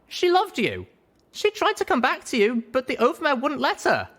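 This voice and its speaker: Give this storybook heroine, acted out by a man, a small, high falsetto that swoops with feeling. She is a young pony mare, tender and earnest, pleading her case. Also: wildly swooping pitch, moderate pace, a bit bright, full character voice. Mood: insistent, pleading.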